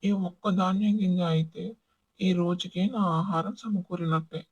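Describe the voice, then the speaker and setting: A woman voicing a tiny, hardworking, loyal ant in a children's animated folktale, with a husky, earnest voice. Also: lowish voice, unhurried, dry recording, lo-fi sound, voice pushed down. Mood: busy, weary.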